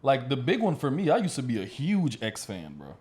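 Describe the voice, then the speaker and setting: A man talks as a podcast host in a relaxed conversational exchange. His wording is informal and slangy, and his pitch sits in a middle range for a male voice. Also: a little fast. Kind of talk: casual chat.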